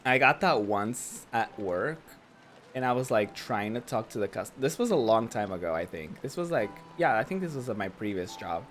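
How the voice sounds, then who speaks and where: A young man streams a game and chats loosely over it, his voice hoarse and raspy from hours of talking. He rambles through stories with a steady stream of "like," and a faint noise sits behind him. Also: lowish voice, moderate pace. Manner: chatty, rambling, says "like" a lot. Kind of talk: casual let's-play commentary.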